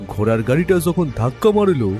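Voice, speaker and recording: A man's voice: fairly high pitch, sing-song, a little fast, darkish tone, faint music behind. A man voicing a talkative, indignant horse-carriage driver with a deferential, colloquial manner in an audio story. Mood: insistent, explaining.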